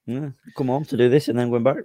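A man with a slightly croaky voice, co-hosting a casual football podcast with brisk banter. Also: medium pitch, steady tone, warm tone, no room sound, studio quality. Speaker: podcast co-host.

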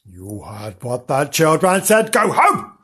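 Adult acting a sensible storybook dog, bossy, exasperated, scolding, matter-of-fact, unhurried. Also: medium pitch, very animated, bright, forceful tone, husky, exaggerated voice. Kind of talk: children's storybook character voice.

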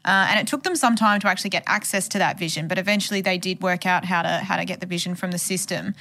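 A woman with a slightly low voice speaks as a journalist on a podcast. She gives her account conversationally, explaining events as someone who reported on them. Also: quick pace, sharp tone. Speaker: journalist who covered the case.

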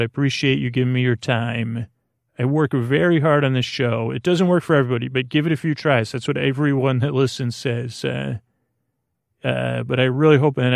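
Man, creaky gravelly voice, dry close-miked sound, halting bedtime rambling, gently whimsical, soothing podcast host. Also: mid-range voice, no echo. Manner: meandering, halting, trailing off mid-word and circling back. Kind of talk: rambling bedtime-story monologue to help listeners fall asleep.

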